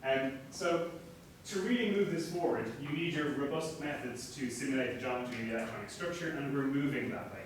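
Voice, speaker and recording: A man's voice: mid-range voice, a little fast, hardly any pauses. Irish man lecturing in an echoey room. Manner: relaxed, self-deprecating, frequent fillers. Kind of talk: academic conference talk. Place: seminar room. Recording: echoey room from far off, noisy.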